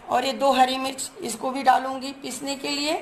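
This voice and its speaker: Hoarse woman hosting a home cooking video, chatty step-by-step explainer, slight fry in her voice. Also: high voice, little pitch movement, normal speed, slight background noise. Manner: chatty, explains each step while showing ingredients. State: hoarse from a cold. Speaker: cooking channel host.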